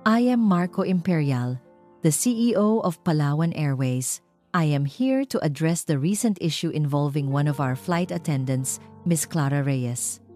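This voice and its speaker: Calm, authoritative Filipino businessman played by a female narrator for a romance audio story, mellow and formal. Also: sits low, soft background music. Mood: solemn, resolute. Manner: measured, formal, emphatic public-speech delivery. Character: confident, commanding male airline CEO, calm and firm.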